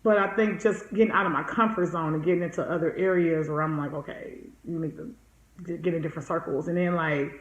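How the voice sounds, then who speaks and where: A woman's voice: deep voice, fairly even intonation, fast. A woman in her forties talking casually and candidly, outspoken and emphatic, repeating phrases to drive them home. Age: 40s. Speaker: podcast guest, entrepreneur being coached. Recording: echoey room, slightly dull sound.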